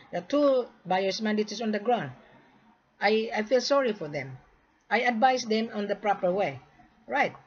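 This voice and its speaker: A woman from the Philippines making a repetitive, insistent sales pitch, lecturing the listener in a direct-to-camera talk. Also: darkish tone. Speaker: gold sales marketer. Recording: dull lo-fi recording.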